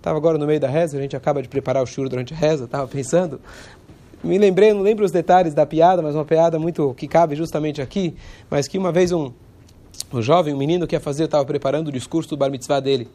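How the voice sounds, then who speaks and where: A man, hoarse from a cold, giving an informal religious lesson in a conversational way and pausing now and then to search for words. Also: on the high side, steady tone, dark tone.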